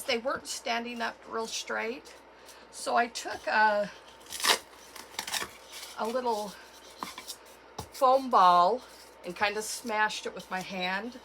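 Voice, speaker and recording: A woman with a cold and a froggy, hoarse voice, chatting casually as she walks through a craft tutorial at home. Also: a little high, background noise. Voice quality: froggy, gravelly. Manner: chatty, unhurried, with fillers and self-corrections. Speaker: craft channel host.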